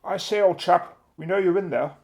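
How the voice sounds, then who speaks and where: An actor playing a dashing, unflappable gentleman inventor and pilot with a jaunty, old-fashioned chummy manner in a radio adventure. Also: sits high, moderate pace, slight background noise, natural voice. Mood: hearty. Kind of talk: radio adventure drama acting.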